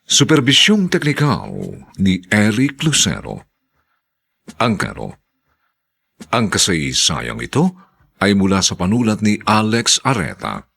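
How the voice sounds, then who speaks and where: A man narrating a radio drama as its announcer, reading scripted lines and credits in a gravelly, husky voice. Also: lowish voice, huge pitch swings, a little slow, long pauses, fairly bright tone.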